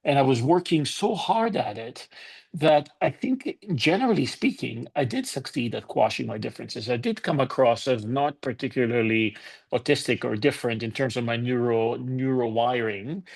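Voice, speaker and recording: A man's voice: medium pitch, normal speed, vocal fry. A man in his fifties from Greece with a Greek accent, giving a candid, conversational talk to an online conference audience and inviting debate. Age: 50s. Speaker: conference speaker.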